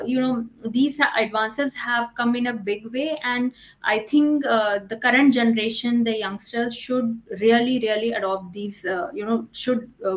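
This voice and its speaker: A woman's voice: on the high side, rough recording. A woman with an Indian accent speaking as a fertility doctor and podcast guest, explaining things emphatically and repeating herself, often saying "you know". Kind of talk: explanatory interview answers.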